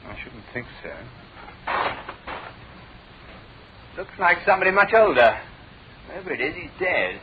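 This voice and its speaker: A man playing a calm, authoritative private detective in a radio drama, with a British accent and a brisk, questioning manner. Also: sits high, a little hiss or hum. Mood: matter-of-fact. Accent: British English. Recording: tinny, band-limited old radio recording, background babble.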